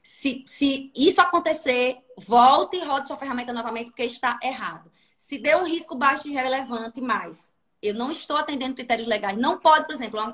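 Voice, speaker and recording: A woman with a Sergipe accent teaches in an animated, instructive way, often pausing to check that her listeners are following. Her voice is bright and sits fairly high. She speaks as a guest labor inspector on a livestream. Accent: Sergipe, northeastern Brazilian. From Sergipe, Brazil.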